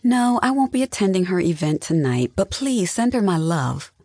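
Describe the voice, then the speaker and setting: A narrator acting out a patient wife in an audiobook, her voice lifted high above the narration. She is conciliatory and soothing, with a slightly husky grain in a dry recording. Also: big pitch swings, moderate pace, darkish tone, dry recording. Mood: curt. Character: placating, patient wife, adult woman.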